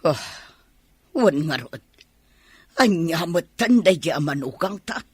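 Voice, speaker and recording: A woman's voice: deep voice, lively intonation, takes it slowly, slightly rough, dry recording, clean studio sound, heavily acted. A woman with an Ilocano accent playing a haughty, well-off older mother in a radio drama, dismissive and doubting. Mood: disbelieving.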